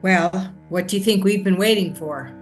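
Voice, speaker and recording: Actress as council messenger, female, middle register, plain determined stage delivery over soft music. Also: mid-range voice, normal speed, close to an everyday voice. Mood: spirited. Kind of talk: stage drama, formal dialogue. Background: faint music.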